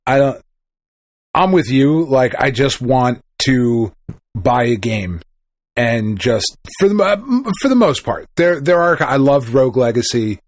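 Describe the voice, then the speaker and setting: Man, podcast co-host, teasing, very lively up-and-down pitch, dry sound. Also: unhurried, no room sound. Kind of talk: casual chat.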